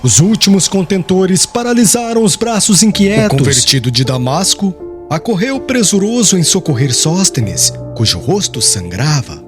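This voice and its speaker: A man reading scripted audiobook narration as an expressive, solemn storyteller. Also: sits high, normal speed. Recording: music behind the voice.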